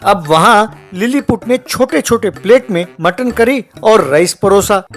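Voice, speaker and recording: A man's voice: high voice, unhurried, soft background music. A man narrating a scripted comic story as the storyteller.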